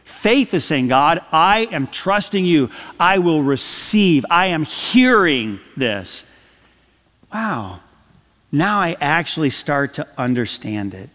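A man preaching a sermon from the pulpit, swinging between loud emphatic lines and soft, dropped phrases. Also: on the high side, big pitch swings, slow pace. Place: church sanctuary. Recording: long hall reverb.

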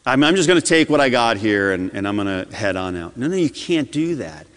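Male pastor preaching a fervent sermon, lively voice, reverberant church sound. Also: mid-range voice, a little fast. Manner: impassioned preaching, swings between loud and hushed. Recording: long hall reverb.

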